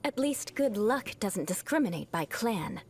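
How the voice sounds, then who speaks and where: A woman's voice: mid-range voice, sing-song, unhurried, darkish tone. A woman voicing a proud, composed young noblewoman with a wry edge in a video game. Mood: wry, poised. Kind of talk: video game character voice lines.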